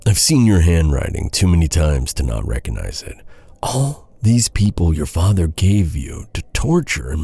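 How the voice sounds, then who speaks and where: A man playing an earnest, anguished knight in a dark fantasy audio roleplay, speaking gravely in halting, formal, old-fashioned phrases. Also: low-pitched, no room sound, heavily acted. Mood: grave, accusing. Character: earnest, anguished male knight confronting a captured princess.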